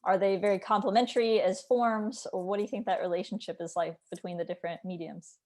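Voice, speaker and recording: Informal woman interviewer, medium pitch. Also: fairly even intonation, fast, a little mellow. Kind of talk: casual conversational interviewing.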